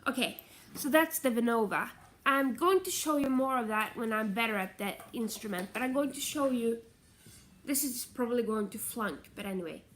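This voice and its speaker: A woman's voice: on the high side, normal speed, fairly bright tone, slight background noise. Chatty nasal woman vlogging. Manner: chatty, meandering, self-correcting. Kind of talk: casual rambling vlog chat.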